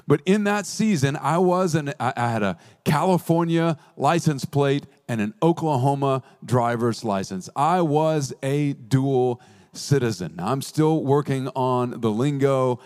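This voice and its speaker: A man preaching a sermon as a pastor, earnest with playful asides, slowing down to stress key phrases. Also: medium pitch, lively intonation, darkish tone.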